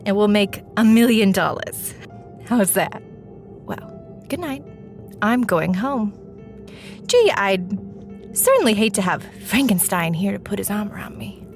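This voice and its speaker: A woman's voice: medium pitch, very animated, a little slow, long pauses, faint music behind. An actress playing a curious, playful, slightly squeamish young woman in an audio drama, casual and inquisitive. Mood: breezy.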